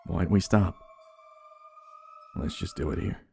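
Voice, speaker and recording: A man's voice: sits low, slow pace, vocal fry, a little mellow, soft background music, heavily acted. A man voicing a desperate, cold wife with an urgent, insistent manner in a horror story narration. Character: cold, desperate wife covering up her baby's death.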